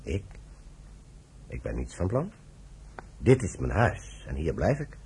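A man's voice: deep voice, huge pitch swings, takes it slowly, gravelly, a little mellow, breathy edge, full character voice. A man acting an older retired British general in a Dutch radio play, dry, stubborn and ironic. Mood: dryly defiant.

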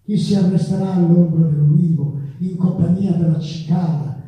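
A man reciting poetry on stage in a solemn, declamatory manner with measured phrasing. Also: high-pitched, fairly even intonation. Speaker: stage performer. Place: theatre stage recital with music. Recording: echoey hall, distant mic, dull sound.

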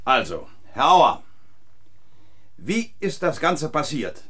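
Sardonic, lively-voiced police commissioner. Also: male voice, a little high, husky, exaggerated voice. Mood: ironic, commanding. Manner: ironic, deliberate, authoritative. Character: sardonic, self-assured police commissioner interrogating a suspect. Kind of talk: German radio crime drama acting. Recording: vintage radio play recording.

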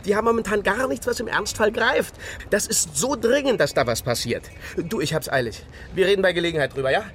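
A pushy East German insurance salesman in a radio drama. His highish voice swings up and down through hurried, ingratiating patter, with street traffic behind him. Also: lively intonation, fairly bright tone. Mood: insistent, hurried. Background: outdoor city traffic.

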